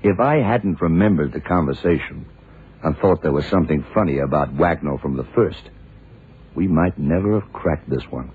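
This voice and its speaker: An actor playing a blind detective in a 1970s radio drama, a gruff, commanding mentor who explains things deliberately with authority. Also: male voice, rumbling low, animated, heavily acted. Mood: explaining, deliberate. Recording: tinny, narrowband old radio recording.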